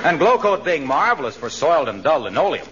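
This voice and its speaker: Animated, hearty salesman announcer. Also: a little fast, crowd noise. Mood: enthusiastic, oblivious. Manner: smooth sales-pitch enthusiasm. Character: hearty, single-minded salesman friend, obliviously plugging products. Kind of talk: 1940s radio comedy acting. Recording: muffled, noisy old radio broadcast.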